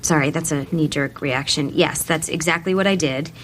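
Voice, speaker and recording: A woman's voice: low voice, normal speed, a little hiss or hum, lightly acted. An actress playing a brash, blunt, self-deprecating young woman in a sitcom clip. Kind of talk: sitcom acting.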